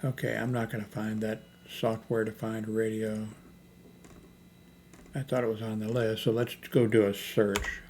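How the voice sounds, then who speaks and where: An older man with a fairly low voice talks through products on his homemade channel. He is hesitant and unscripted, thinking aloud and often breaking off. There is some noise behind his voice. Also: flat delivery, normal speed, a little mellow. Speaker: YouTube host. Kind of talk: rambling, unscripted screen-browsing product chat. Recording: noticeable background noise, homemade recording.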